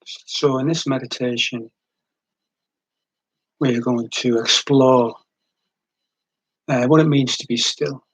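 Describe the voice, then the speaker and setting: A man leading a guided meditation in a gentle, soothing, unhurried way, with long pauses between short phrases and a breathy edge to the voice. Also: medium pitch, fairly even intonation, no room sound, low-quality recording.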